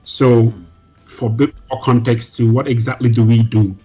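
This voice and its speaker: A man raised in Nigeria and Germany, answering interview questions in a measured, explanatory way with frequent ums. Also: mid-range voice, little pitch movement, dark tone, breathy edge, highs cut off, soft background music. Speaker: guest, energy program head.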